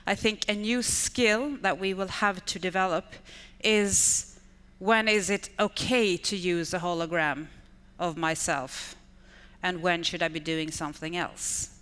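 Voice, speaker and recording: A woman's voice: lowish voice, fairly even intonation, unhurried, a bit bright, clear, resonant tone. A Swedish woman in her fifties, a conference speaker whose first language is Swedish, giving an enthusiastic talk in English and piling up repeated words like "really, really.". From Sweden. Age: 50s. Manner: enthusiastic, stacks repeated intensifiers. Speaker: conference speaker, education manager. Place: large conference hall. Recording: reverberant hall with long decay.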